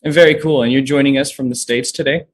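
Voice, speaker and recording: Husky-voiced man moderating a panel. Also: medium pitch, steady tone, quick pace. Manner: scripted opening, then friendly prompting.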